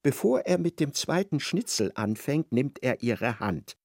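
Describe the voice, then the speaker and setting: A male radio play narrator with a very animated, theatrical delivery. His voice has a creaky grain and sits in a dry, close recording as he relays what people said. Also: medium pitch, a little slow, no echo. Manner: theatrical, retells dialogue in indirect speech.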